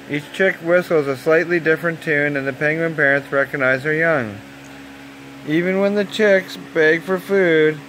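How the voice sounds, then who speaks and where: Male storyteller, slightly high voice, deliberate children's bedtime narration, faint background noise. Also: a bit bright, poor audio. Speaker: storyteller reading a nature story aloud.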